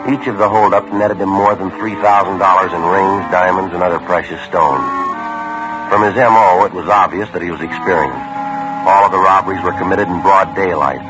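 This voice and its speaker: An actor playing a terse police sergeant who narrates the case in a clipped, matter-of-fact way, in a 1950s radio crime drama. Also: male voice, deep voice, steady tone, a little fast, noisy background, lightly acted. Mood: narrating. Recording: dull old radio broadcast with music.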